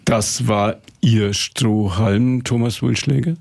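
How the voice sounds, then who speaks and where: A male radio host interviewing guests with measured, long-winded questions. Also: lowish voice, steady tone, gravelly, darkish tone.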